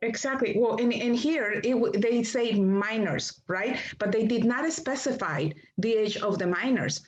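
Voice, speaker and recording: A woman with a Spanish accent, a native Spanish speaker, calling in to a talk show and speaking haltingly with many restarts. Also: a little high. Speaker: caller. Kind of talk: casual call-in chat. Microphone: phone line. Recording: dull lo-fi phone call.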